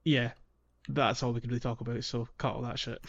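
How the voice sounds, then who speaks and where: A man's voice: mid-range voice, little pitch movement, brisk, gravelly. A man with a mid-range voice talks casually as a podcast co-host about a video game. He feels his way through sentences, hesitant and thinking aloud. The sound is a little dull and lo-fi.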